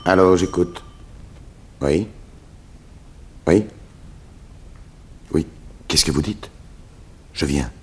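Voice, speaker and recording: An actor playing a terse, self-assured detective in a 1960s French radio drama, speaking laconically. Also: male voice, low-pitched, extremely slow, pauses often, darkish tone, husky, heavily acted. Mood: urgent, clipped.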